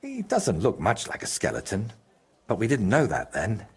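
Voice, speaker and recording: An actor playing a troubled, guilt-ridden medieval painter in a video game, with a husky, halting and brooding delivery. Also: male voice, on the low side, huge pitch swings, full character voice. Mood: wistful. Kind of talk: video game dramatic dialogue.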